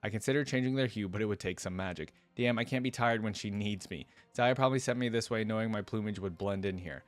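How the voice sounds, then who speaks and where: A man's voice: fairly low pitch, fast talker, close to an everyday voice. A man voicing a vain, playful, easily distracted birdlike battle dancer in a flippant first-person story reading. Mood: irritated, distracted.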